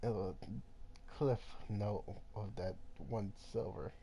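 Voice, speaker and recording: A man live streaming a game with casual, informal commentary, muttering to himself between louder reactions. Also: lowish voice, fairly even intonation, slow pace, vocal fry, slight background noise.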